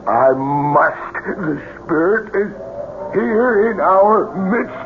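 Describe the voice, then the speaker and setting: Casting: frail mystic medium, portentous, halting and slow; noisy vintage radio sound. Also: male voice, sits high, lively intonation, full character voice. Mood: solemn, strained. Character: solemn, frail holy man, fake spirit medium in trance. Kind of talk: old-time radio drama acting. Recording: noisy, tinny old radio broadcast.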